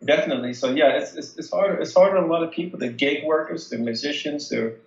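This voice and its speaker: An American man giving a casual phone interview as a local resident, halting as he searches for words. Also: on the high side. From United States. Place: remote phone call. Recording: dull, echoey phone call.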